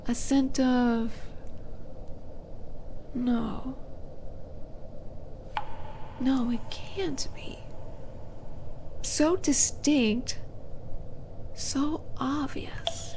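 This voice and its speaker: Female demon, played by a woman for roleplay audio drama: seductive, taunting, unhurried, higher-pitched, sinking into whispers, faint noise behind. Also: warm tone, exaggerated voice, rough recording. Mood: intrigued, puzzled. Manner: slow, coaxing, condescending purr with breathy whispered drops. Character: flirty, teasing female demon buyer at a dark auction.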